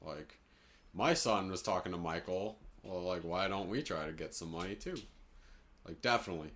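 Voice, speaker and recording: Very low-voiced young man. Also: moderate pace, fairly bright tone. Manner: informal, sweary. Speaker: podcast co-host. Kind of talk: casual chat.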